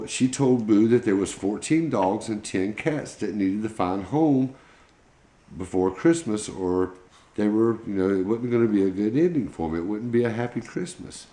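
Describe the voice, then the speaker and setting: A man's voice: lowish voice, expressive, moderate pace, mellow, reverberant. A man telling an informal children's bedtime story in a folksy, colloquial way. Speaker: pet channel host telling a bedtime story.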